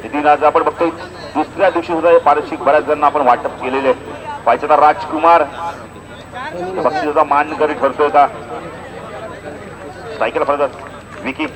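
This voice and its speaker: A man's voice: a little high, low-quality recording. Man commentating cricket over crowd noise. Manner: swings from measured announcing to excited calls. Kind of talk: live local cricket tournament commentary in Marathi. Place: outdoors at a cricket ground.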